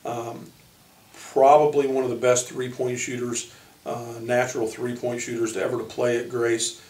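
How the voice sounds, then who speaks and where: An American man speaking as a basketball coach, informally introducing his team in halting short phrases. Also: mid-range voice, level tone, darkish tone. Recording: echoey room, distant microphone.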